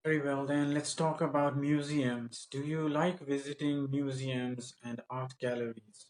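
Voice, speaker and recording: A man's voice: mid-range voice, little pitch movement, measured pace, a little mellow, rough recording. Man asking questions as an English examiner. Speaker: English speaking test interviewer. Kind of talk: spoken English practice interview.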